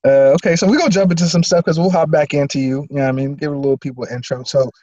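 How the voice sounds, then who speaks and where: A man's voice: a little high, fairly even intonation, rapid-fire, dark tone, no echo. A man hosting a casual interview in a slangy, conversational way, on a slightly lo-fi recording. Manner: slangy, often checks "you know what I mean". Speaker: podcast host.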